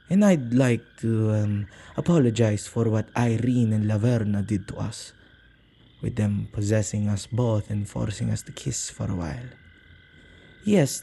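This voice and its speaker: Male witch, played by a man for ASMR roleplay: dark, husky, intimate, possessive but soft-hearted, old-fashioned wording. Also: exaggerated voice. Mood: gentle, apologetic. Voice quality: low, husky, creaky voice. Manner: halting, gentle, slightly archaic phrasing.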